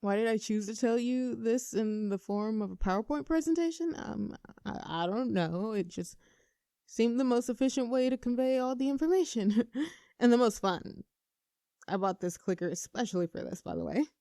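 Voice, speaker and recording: Casting: actress playing nervous, teasing young best friend in love, earnest and conversational, intimate audio roleplay, very dry close sound. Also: female voice, a little high, expressive, moderate pace, warm tone, no room sound. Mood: flustered. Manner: playful, teasing, earnest, slightly flustered.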